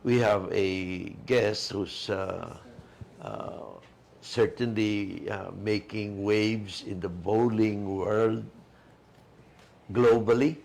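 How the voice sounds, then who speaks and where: A man co-hosting a talk show, speaking haltingly with many pauses and filler sounds. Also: fairly low pitch, fairly even intonation, vocal fry, darkish tone, slight background noise. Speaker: co-host, attorney.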